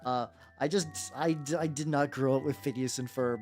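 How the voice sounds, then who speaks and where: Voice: mid-range voice, fairly even intonation, moderate pace, a little mellow. A streamer chatting casually and irreverently while painting miniatures, swearing freely and often stammering or repeating words mid-sentence. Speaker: livestreamer. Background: background music.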